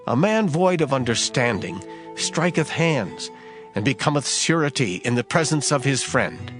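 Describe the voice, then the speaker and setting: A man narrating scripture in a dramatized King James style, with solemn, measured, emphatic delivery. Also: mid-range voice, big pitch swings. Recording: music under the voice. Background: background music.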